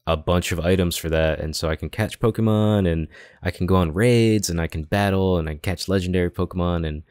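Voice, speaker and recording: A man's voice: low-pitched, brisk, darkish tone, smooth, dry recording, studio quality. A man chatting casually as a co-host on a gaming podcast.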